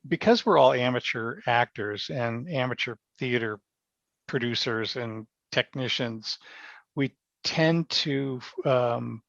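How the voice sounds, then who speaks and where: A man with a creaky, gravelly voice talks as an interview guest. He is reflective and casual, pausing as he works through his thoughts. The sound is dry and somewhat dull and lo-fi. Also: medium pitch, fairly bright tone, no echo. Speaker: podcast guest, amateur theater member.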